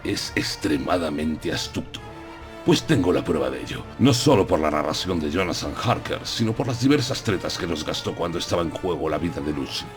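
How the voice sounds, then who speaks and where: A man playing a grave, resolute old professor and vampire hunter in a gothic audio drama, speaking formally and deliberately. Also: a bass voice, lively intonation, music in the background.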